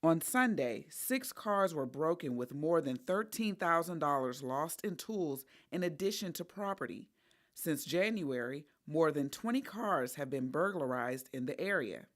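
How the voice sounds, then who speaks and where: A woman's voice: low voice, lively intonation, measured pace, dry recording, studio quality. Woman reporting local TV news. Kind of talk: broadcast news reporting, scripted narration.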